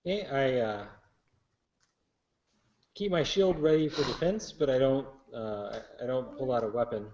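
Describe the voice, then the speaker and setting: A man's voice: mid-range voice, normal speed, darkish tone. A man talking casually as a player in a tabletop roleplaying game. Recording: echoey, dull room recording.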